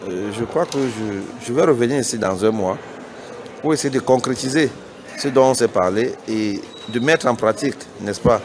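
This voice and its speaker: A middle-aged man from Cameroon whose first language is Bassa, giving an earnest, persuasive spoken statement to reporters, hesitating and restarting often. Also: normal speed, background noise. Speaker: business founder giving a press statement.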